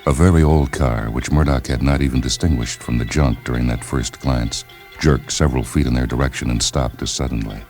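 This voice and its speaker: Extremely deep-voiced male story narrator. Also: darkish tone. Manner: measured, literary storytelling. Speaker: narrator of a short story series. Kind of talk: scripted science fiction story narration. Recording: music behind the voice.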